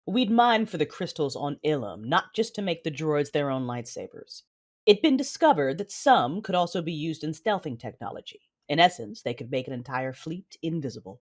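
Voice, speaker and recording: An actress playing a vengeful female Sith lord, narrating her own story in an audio drama with intense, deliberate delivery. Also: sits low, sing-song. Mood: grim resolve. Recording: music behind the voice.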